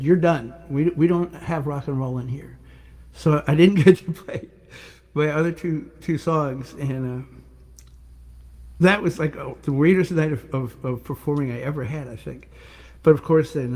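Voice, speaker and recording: An older male singer-songwriter with a rough voice, chatting casually and rambling a little between songs. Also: a little high. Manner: rambling, warm-hearted, restarts often. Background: guitar.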